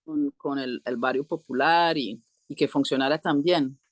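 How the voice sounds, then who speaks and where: A middle-aged woman explaining things conversationally as an interview guest, halting and often clearing her throat. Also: extremely low pitch, dry recording. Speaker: interview guest, co-founder of an alternative school.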